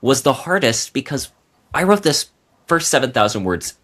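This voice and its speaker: A man talking casually as a guest novelist on a podcast, telling stories in an animated way. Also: medium pitch, moderate pace.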